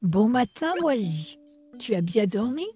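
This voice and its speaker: Woman acting a kindly nana, dark soft timbre, animated intonation, cartoon dub, music behind. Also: medium pitch, a little slow. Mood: warm. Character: kindly, caring female household nanny figure.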